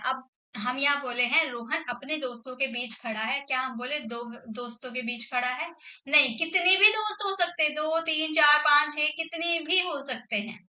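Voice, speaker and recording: A woman teaching English grammar, dictating example sentences slowly and repeating phrases as she checks in with her students. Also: sits high, bright, forceful tone. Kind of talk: grammar lesson.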